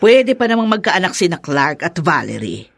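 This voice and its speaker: An actor playing a scheming, possessive character in a radio drama, with a Filipino accent. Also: male voice, sits high, very animated, normal speed, creaky, a bit bright, dry recording, full character voice.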